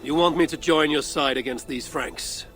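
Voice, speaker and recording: An actor playing a steady, loyal Viking clan leader in a video game, measured and earnest, with a gravelly voice. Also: male voice, fairly high pitch, soft background music, natural voice. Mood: measured, respectful.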